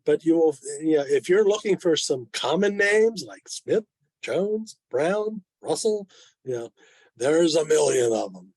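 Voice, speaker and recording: Man, seventies, slightly high voice, dry room sound, wry and self-deprecating, informal presentation. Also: animated, a little slow, darkish tone, no echo. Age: 70s. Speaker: club presenter. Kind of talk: informal club presentation with questions. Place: online video meeting. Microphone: video call.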